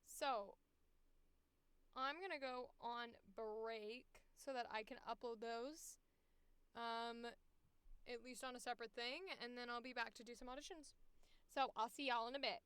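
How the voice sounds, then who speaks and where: A woman's voice: a little high, a little slow, a bit bright, clear, resonant tone, no room sound. A woman who streams as a voice actor, chatting casually and informally as she thinks aloud while working. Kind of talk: casual livestream chat.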